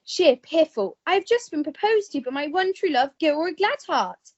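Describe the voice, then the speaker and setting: A woman's voice: high-pitched, animated, moderate pace. A woman playing a self-centred, melodramatic young upper-class English heiress in a comic radio play. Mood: indignant, self-important. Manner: posh, melodramatic. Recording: dull lo-fi recording.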